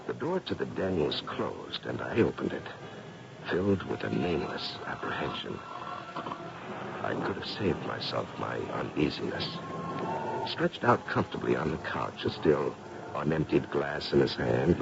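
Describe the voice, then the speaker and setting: An actor playing a grave, anxious middle-aged doctor who narrates and speaks in a 1970s radio drama, deliberate and dramatic. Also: male voice, full character voice. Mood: brooding. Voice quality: deep, gravelly. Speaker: narrator and character. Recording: muffled, noisy old radio broadcast.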